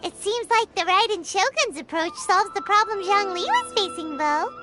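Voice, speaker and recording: A woman's voice: very high voice, expressive, moderate pace. An actress playing a tiny, childlike fairy girl companion in a video game, chirpy, earnest and naive. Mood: curious. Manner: chirpy, refers to herself in third person. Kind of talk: video game character dialogue. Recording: loud game music behind the voice.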